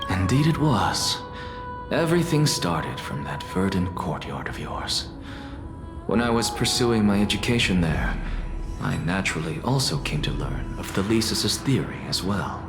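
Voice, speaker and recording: An actor playing a proud, sardonic, world-weary scholar in a video game, speaking with dry, theatrical articulation. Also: male voice, deep voice, big pitch swings, unhurried, roomy sound, exaggerated voice. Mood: grave, reflective. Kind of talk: dramatic video game voice acting. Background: game music.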